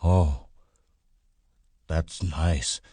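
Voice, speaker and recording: A man voicing a big, simple-minded, childlike farmhand in an audiobook, pleading and easily frightened, with halting, repetitive rustic speech. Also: very deep voice, warm tone, breathy edge, no room sound, exaggerated voice. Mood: delighted.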